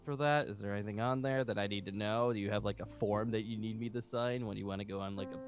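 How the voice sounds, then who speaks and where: A man's voice: fairly low pitch, fairly even intonation, fast, music in the background, lightly acted. A man playing an impatient military colonel running a survivor camp, brusque and sarcastic, with stop-start phrasing, in an improvised game roleplay.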